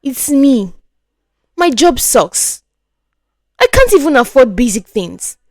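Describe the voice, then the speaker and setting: A woman's voice: high-pitched, sing-song, slow, dry recording, studio quality, full character voice. A woman voicing a resentful, insecure young man in a storytelling tale, with a brooding, snappish manner. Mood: bitter.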